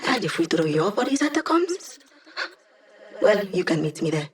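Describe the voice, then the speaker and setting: A woman's voice: lowish voice, sing-song, moderate pace. An actress voicing a cocky, playful young female hacker who guides a squad in a video game, with a teasing, breezy manner. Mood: sly, teasing. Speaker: hacker and mission support. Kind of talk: video game character voice lines.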